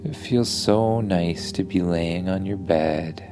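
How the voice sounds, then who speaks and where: A man's voice: deep voice, slow pace, warm tone, faint music behind. A man telling an improvised bedtime story for kids in an informal, soothing way.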